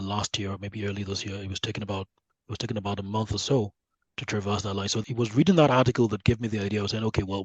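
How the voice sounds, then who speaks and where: A man's voice: on the low side, slightly rough, no room sound, low-quality recording. A man with a Nigerian accent explaining his views as an interview guest, conversational, often checking in with the listener. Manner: conversational, often checks with "right?" and "you know". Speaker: guest researcher. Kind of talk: podcast interview, explaining with analogies.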